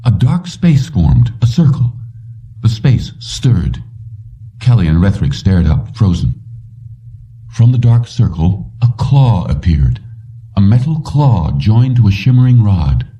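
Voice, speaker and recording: A man's voice: on the low side, very animated, slow, mellow. A man narrating a scripted audiobook story. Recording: slightly distant, dull lo-fi recording.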